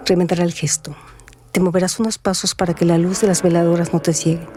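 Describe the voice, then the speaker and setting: A woman narrating a literary radio drama in a measured, atmospheric storytelling manner. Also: fairly low pitch, little pitch movement, warm tone. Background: music.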